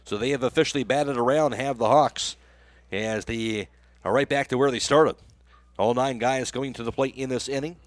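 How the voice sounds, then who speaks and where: A man's voice: mid-range voice, normal speed, no room sound. A man doing live play-by-play baseball commentary for a radio broadcast. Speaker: play-by-play announcer.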